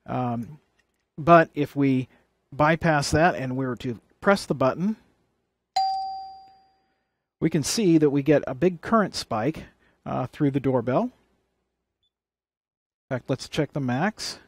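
Guy doing a hands-on electronics how-to, average pitch, explains it step by step, no room echo. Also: slow, pauses often. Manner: plain-spoken, explanatory, step by step. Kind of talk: hands-on tutorial demonstration.

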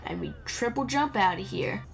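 A woman's voice: on the high side, animated, moderate pace, over music. A woman giving casual, playful, rambling commentary while playing a video game.

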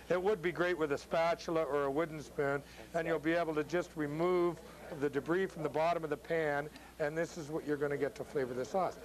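A man's voice: fairly high pitch, steady tone, a little fast, few pauses, poor audio. A middle-aged man hosting a cooking demonstration as a chef, chatting casually in a jokey way. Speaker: cooking show host, chef. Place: restaurant bar room, indoors. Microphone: lapel.

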